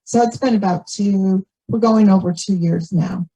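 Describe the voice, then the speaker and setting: A woman's voice: medium pitch, normal speed, dark tone, breathy edge, muffled recording. A woman resident giving an informal comment at a meeting, hesitant and searching for her words.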